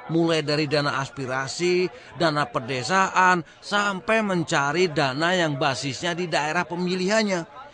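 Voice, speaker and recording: A man's voice: a little high, a little slow, pushed and bright. A man playing an outspoken citizen critic in a radio drama, pointed and challenging. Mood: critical, challenging.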